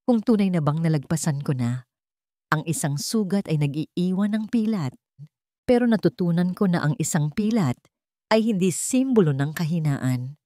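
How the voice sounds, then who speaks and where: A woman voicing a resolute young woman survivor in an audiobook story, speaking in a calm, steady, earnest way. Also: low voice, no room sound, clean studio sound. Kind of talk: dramatized audiobook dialogue in a storybook drama.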